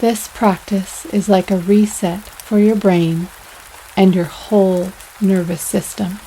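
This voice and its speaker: A woman leading a guided yoga nidra meditation in a soothing, unhurried way, pausing between phrases. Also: medium pitch, slight background noise, low-quality recording. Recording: steady rain sounds over voice. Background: rain sounds.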